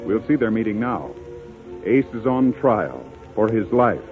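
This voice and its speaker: A man's voice: on the low side, big pitch swings, unhurried, music in the background. An American man delivering scripted 1930s radio narration and sponsor announcements on a tinny, muffled broadcast.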